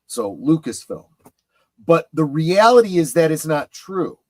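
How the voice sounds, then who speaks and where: A man giving opinions in a casual panel chat, emphatic and deliberate, halting between phrases. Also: on the high side, sing-song, no room sound. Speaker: guest co-panelist on a fan show.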